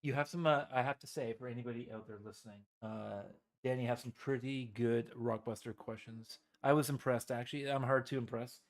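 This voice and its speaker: A man's voice: mid-range voice, fairly even intonation, a little fast, few pauses. Stuffy man rambling through a cold. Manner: rambling, self-deprecating, many fillers. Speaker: co-host. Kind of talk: casual live-stream chat.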